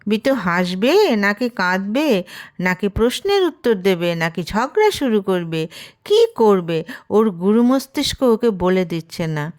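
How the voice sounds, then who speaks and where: A woman narrates a scripted romantic tale. Her pitch sits in the middle range for a woman, and she moves through the sentences at a slow, measured pace. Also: sing-song, darkish tone. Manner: reads character dialogue within the narration. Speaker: storyteller.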